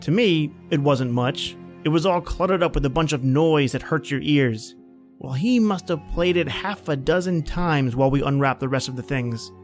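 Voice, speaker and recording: A man's voice: on the high side, moderate pace, faint music behind, close to an everyday voice. An actor playing a plain-spoken man at a snowbound outpost, telling his story in a folksy, conversational old-time radio style. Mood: reflective.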